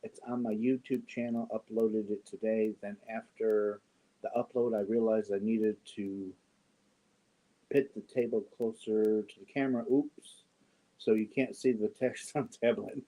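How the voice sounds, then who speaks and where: A man in his fifties chatting casually with viewers on a yarn livestream, joking and often clearing his throat. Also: on the low side, fairly even intonation, measured pace, mellow, poor audio. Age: 50s. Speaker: yarn channel host. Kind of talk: casual livestream chat answering viewer comments.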